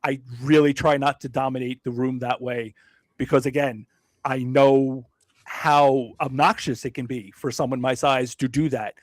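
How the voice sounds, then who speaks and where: A man from Brooklyn talking as a podcast guest, measured and self-deprecating, with frequent hedges and restarts. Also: medium pitch, fairly even intonation, no echo. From Brooklyn, New York. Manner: frequent hedging fillers and restarts. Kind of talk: casual interview conversation.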